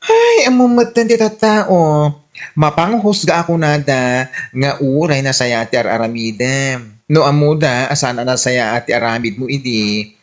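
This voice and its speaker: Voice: medium pitch, animated, a little slow, lightly acted. A performer playing a teasing, nosy neighbour with blunt advice and an Ilocano accent in a radio comedy drama. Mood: advising.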